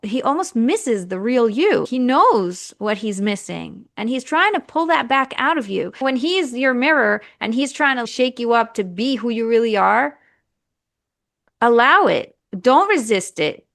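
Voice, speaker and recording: A woman's voice: on the high side, very animated, unhurried, creaky, a bit bright, no room sound, clean studio sound. A woman podcast host and marriage coach, speaking earnestly and encouragingly over a video call. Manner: earnest, encouraging, repetitive exhortations. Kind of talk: reflective coaching talk.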